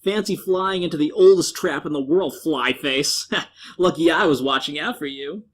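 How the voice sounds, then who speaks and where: A man's voice: a little high, normal speed, lightly acted. A man voicing a cocky, teasing fairy boy in a dramatic storybook reading, with a brash, mocking manner.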